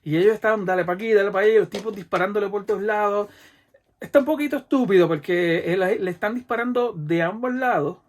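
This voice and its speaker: A man in his forties with a Puerto Rican accent, excitedly retelling a movie in a casual vlog, acting out gunshots with mouth sounds. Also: high voice, fast talker. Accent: Puerto Rican Spanish. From Puerto Rico. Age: 40s. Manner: animated, imitates gunshots and action with mouth sounds. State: sweaty from the heat. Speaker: vlogger reviewing a film.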